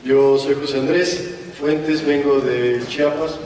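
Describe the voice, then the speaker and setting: Panelist, man from Mexico, monotone delivery, distant in a big hall. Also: fairly high pitch, slow, slight background noise. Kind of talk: conference panel talk. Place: large conference hall. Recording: echoey hall, voice from afar.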